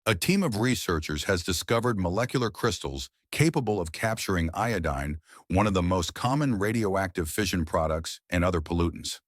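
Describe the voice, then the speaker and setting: A man reading a scripted practice passage aloud in a measured, formal manner. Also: low-pitched, expressive, no room sound, studio quality. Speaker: model reader.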